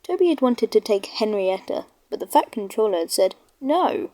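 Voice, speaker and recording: A woman's voice: mid-range voice, big pitch swings, normal speed, mellow. A woman narrating a children's storybook from a script.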